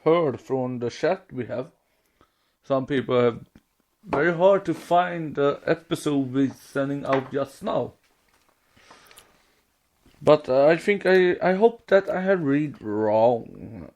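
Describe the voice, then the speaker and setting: A man co-hosting a podcast in casual chat, speaking haltingly with non-native English phrasing. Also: medium pitch, animated, a little mellow. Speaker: podcast co-host.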